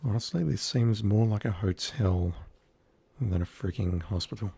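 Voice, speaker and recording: Guy streaming a game, low scratchy creaky voice, kinda dry and wry, just chatting along. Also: normal speed, mellow. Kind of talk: casual live playthrough commentary.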